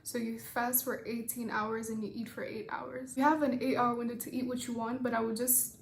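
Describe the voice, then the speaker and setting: Young woman, from Rwanda, casual makeup Q&A, rambling, swears offhand, higher voice, echoey room. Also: flat delivery, moderate pace, creaky, a little hiss or hum. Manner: laid-back, rambling, swears casually, many fillers. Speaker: beauty vlogger. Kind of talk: casual get-ready-with-me makeup chat and Q&A.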